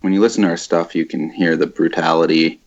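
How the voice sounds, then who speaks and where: Low gravelly guy, laid back band chat, kinda muffled sound. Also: fairly even intonation, fast talker. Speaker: band guitarist and vocalist. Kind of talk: casual interview chat.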